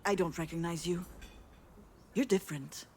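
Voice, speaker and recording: A woman's voice: on the low side, moderate pace, a little mellow, close to an everyday voice. A woman playing a blunt, tough, hot-tempered sister in a visual novel, speaking in a direct, sardonic, confrontational way. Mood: upset, confrontational. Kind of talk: visual novel voice acting, dramatic dialogue.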